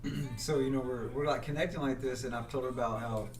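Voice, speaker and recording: A man's voice: mid-range voice, fairly even intonation, fast, music in the background. Rambling, cursing man, echoey and distant. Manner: rambling storytelling with frequent profanity. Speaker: podcast guest. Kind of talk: casual chat.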